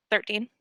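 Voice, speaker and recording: A woman's voice: fairly bright tone, no echo, studio quality. A woman playing an online tabletop game, chatting casually with the other players.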